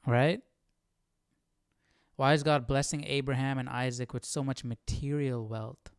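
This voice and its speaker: A man's voice: mid-range voice, fairly even intonation, a little slow, smooth, no echo, clean studio sound. A man hosting a live Bible study stream, thinking aloud in casual, reflective commentary and checking in with listeners. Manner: thinking aloud, often asking "right?".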